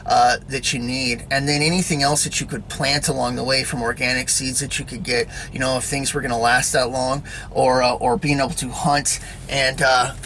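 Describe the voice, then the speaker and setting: Male preacher, loose conversational sermon, bright voice, faint background hum. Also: mid-range voice, little pitch movement, normal speed, hardly any pauses, rough recording. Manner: rambling, repeats "all right?" and "you know". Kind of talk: casual spoken sermon to camera.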